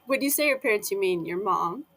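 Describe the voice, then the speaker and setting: A woman interviewing a guest, asking gentle, probing follow-up questions. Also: medium pitch, expressive, a little fast. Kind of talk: conversational interview.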